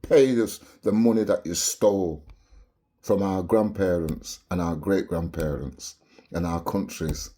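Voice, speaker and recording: A man's voice: deep voice, unhurried, warm tone. A Barbadian man delivering an impassioned, preachy monologue to camera, peppering his points with rhetorical "yeah?" tags. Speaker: vlogger.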